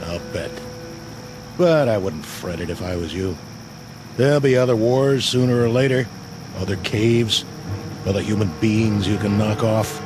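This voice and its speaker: Guy doing a gruff sarcastic sergeant, barking orders, music under it. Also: on the low side, measured pace, pauses often, natural voice. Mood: mocking. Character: tough, sardonic male army sergeant. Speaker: soldier. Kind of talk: radio drama acting. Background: music score.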